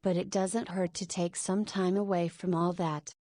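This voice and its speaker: A woman's voice: on the low side, little pitch movement, moderate pace, mellow, no room sound, clean studio sound, close to an everyday voice. A woman voicing a forty-year-old single mother and school principal in a visual novel, with teasing, motherly banter. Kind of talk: voiced visual novel dialogue.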